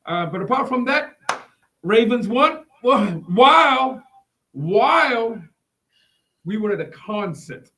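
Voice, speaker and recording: High-pitched, animated man co-hosting a chat. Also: slow pace, long pauses. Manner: excitable, repeats phrases. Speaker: talk show co-host. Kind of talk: casual live chat.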